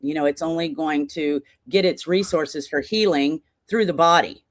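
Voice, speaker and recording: A woman's voice: sits low, normal speed, no echo, clean studio sound. A woman in her sixties, a guest on a podcast, telling her story earnestly in long run-on sentences. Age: 60s. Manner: earnest, talks in long run-on stories with laughter. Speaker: podcast guest, charity founder. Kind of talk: casual interview storytelling.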